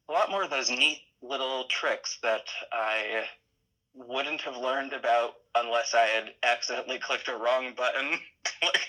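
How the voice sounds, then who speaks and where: A man chatting casually as an interview guest, halting and self-deprecating in how he talks. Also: medium pitch, pushed and bright, poor audio. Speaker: podcast guest, audio drama creator.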